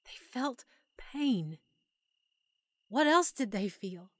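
A woman's voice: on the high side, lively intonation, extremely slow, fairly bright tone, dry recording, studio quality, heavily acted. A woman voicing a young woman who is turning into a zombie, reading out her final letter in a strained, fearful way. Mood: desperate. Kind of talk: audiobook character monologue.

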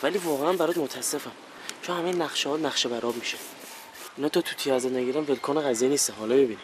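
A man's voice: mid-range voice, noisy background, close to an everyday voice. A man playing a boastful, self-pitying fellow. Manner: theatrical, mock-praising, sulky.

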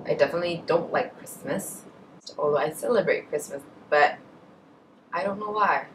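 A woman's voice: low voice, moderate pace, recorded from a distance, background noise. A young Indonesian woman with an Indonesian accent, chatting casually to camera in her bedroom and correcting herself as she goes. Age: young adult. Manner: chatty, self-correcting, trails off into asides. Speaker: beauty vlogger. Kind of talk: casual chat answering a tag. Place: bedroom, indoors. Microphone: camera in front, at a short distance.

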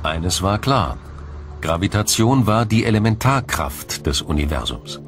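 Low-voiced male documentary narrator over music. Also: very animated, measured pace. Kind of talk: scripted science documentary narration. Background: background music.

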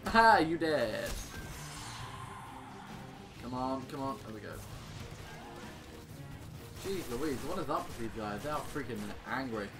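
A man's voice: mid-range voice, animated, a little slow, long pauses, music in the background. A man doing casual gameplay commentary, sarcastic and exclaiming with mild swearing. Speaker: gaming YouTuber.

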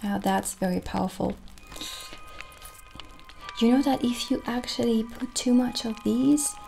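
Soft, slow, creaky-voiced witch shopkeeper played by a woman. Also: a little high, rough recording. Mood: calm, friendly. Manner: soft, unhurried, soothing. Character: gentle, friendly witch shopkeeper selling potions and crystals. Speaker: ASMR performer. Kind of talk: ASMR roleplay with spoken voice. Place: small dim candlelit room, indoors. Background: soft music.

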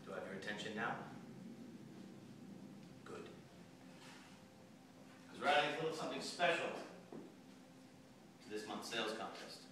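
An actor playing an aggressive, profane sales boss from head office in a theatrical monologue, domineering, taunting and emphatic. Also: male voice, lowish voice, lively intonation, moderate pace, long pauses, lightly acted. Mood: cold, threatening. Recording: echoey room, distant mic, faint noise.

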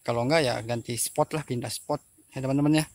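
A young man talks in an informal, chatty vlog style. His voice sits at a middle pitch. He speaks to his viewers as friends, recorded on a handheld phone in the open air. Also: steady tone, brisk, dry recording. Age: young adult. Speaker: fishing vlogger. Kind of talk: casual chat to camera. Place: outdoors by a pond and fields.

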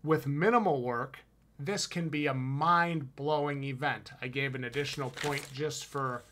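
A man's voice: mid-range voice, measured pace, fairly bright tone. A man in his thirties with a Wisconsin accent, co-hosting a haunted house review podcast in an enthusiastic, emphatic, slangy way from a home room over a headset mic. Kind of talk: casual review chat. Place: home room with shelves, indoors. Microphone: headset with boom mic on video call.

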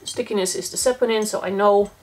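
A woman's voice: medium pitch, fairly even intonation, fast talker, darkish tone, poor audio. A young woman demonstrating a laundry test on camera, talking casually and thinking aloud as she checks each item. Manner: matter-of-fact, thinking aloud while handling laundry. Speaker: eco-living vlogger. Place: small laundry corner indoors, sitting on the floor.

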